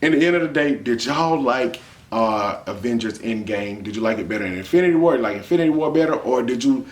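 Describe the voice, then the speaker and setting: A man's voice: medium pitch, expressive, fast. American man chatting in an echoey room. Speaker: vlog co-host and movie reviewer. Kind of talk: casual conversational review. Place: indoor room against a plain wall. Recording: echoey room, off camera mic.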